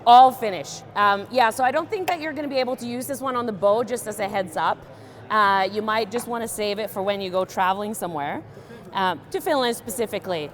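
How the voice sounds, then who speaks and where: A young woman tying a fishing fly in a joking, deadpan demonstration, with many ums and uhs. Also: medium pitch, fairly quick. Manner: deadpan, playful, many fillers. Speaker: guest fly tier.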